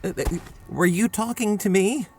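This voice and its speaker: A man playing an evasive, quietly ominous astronomer in an audio drama, hesitant and stammering. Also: sits high, normal speed, a little hiss or hum.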